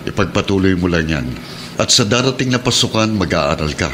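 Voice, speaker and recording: Kindly uncle, slightly deep voice, gentle, fatherly, Filipino radio drama, faint background noise. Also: lively intonation, brisk. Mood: reassuring, encouraging. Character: kindly, encouraging uncle, benefactor of a poor boy.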